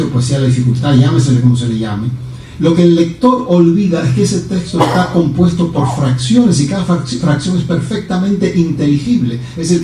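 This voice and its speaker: A man from Cuba holds forth as a visiting poet, animated and digressive, asking for agreement as he goes. His voice is a little high-set but mellow. He sits well back from the microphone in a very echoey hall with a faint hiss. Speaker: guest poet answering questions. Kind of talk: discursive talk and Q&A answers. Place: lecture room. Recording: roomy hall, speaker far from the mic, faint hiss.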